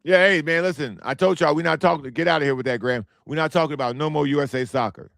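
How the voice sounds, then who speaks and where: An American man hosts his own podcast, talking bluntly and going over the same points twice. His voice is hoarse and strained, with a gravelly rasp. The sound is dry and close. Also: a little high, fast, dry recording. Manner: animated, blunt, repeats himself. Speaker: podcast host. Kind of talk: casual solo commentary.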